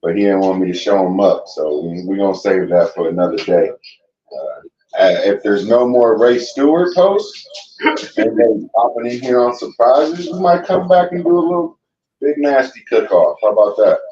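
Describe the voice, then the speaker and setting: A man from Cleveland, a guest cook and wrestler, talking casually and giving shout-outs. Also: fairly low pitch, expressive, moderate pace. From Cleveland, Ohio. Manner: laid-back, gives shout-outs. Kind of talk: casual podcast chat. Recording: dull, roomy, distant mic.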